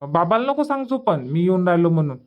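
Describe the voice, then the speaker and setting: A man's voice: sits high, very animated, fast, narrow, tinny audio. A man voicing an easygoing young village son in a cartoon comedy, chatty and colloquial, with a rural Vidarbha Marathi accent. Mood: reassuring, cheerful.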